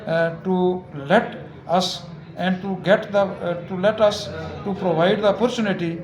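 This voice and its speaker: An older man, an advocate, answering interview questions haltingly with many fillers and restarts. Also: high-pitched, fairly even intonation. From Azad Kashmir. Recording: echoey with crowd noise.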